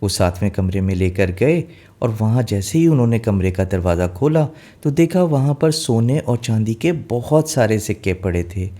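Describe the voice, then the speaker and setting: A man's voice: lowish voice, lively intonation, moderate pace, warm tone. A man narrating a scripted children's fairy tale in simple language, mixing in some English words. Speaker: storyteller.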